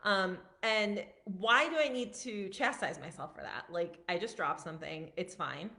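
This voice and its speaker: A woman in her thirties speaks loosely on a live stream, her voice fairly low but forceful and bright. She projects loudly and chats in a loose, unscripted way, full of fillers and quick restarts. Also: creaky. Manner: loud, projecting voice; chatty, many fillers and restarts. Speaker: physician and life coach. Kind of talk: casual live-stream talk.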